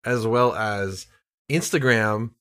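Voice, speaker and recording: A man co-hosting a casual podcast, joking around and laughing often with quick asides. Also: lowish voice, a bit bright, clean tone. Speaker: podcast co-host.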